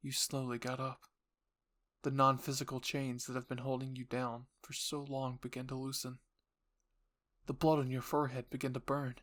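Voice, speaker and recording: A man's voice: medium pitch, monotone, moderate pace, a bit bright. A man narrating an audio roleplay story in the second person with an earnest, theatrical delivery.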